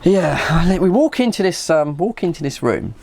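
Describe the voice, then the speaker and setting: Animated Englishman spinning a casual anecdote. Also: on the high side, moderate pace, creaky, a little hiss or hum. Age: 50s. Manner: animated, stammering, acts out dialogue. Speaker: vlog host.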